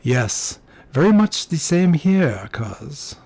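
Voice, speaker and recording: A man's voice: fairly high pitch, sing-song, slow pace, a little mellow, husky, no echo, exaggerated voice. A man voicing a nervous, polite Frenchman in his twenties with a French accent and hesitant, formal phrasing, in an audiobook. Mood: glad.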